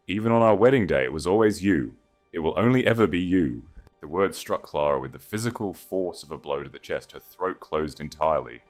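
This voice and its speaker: A man narrating a scripted story in a dramatic storytelling style. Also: low voice.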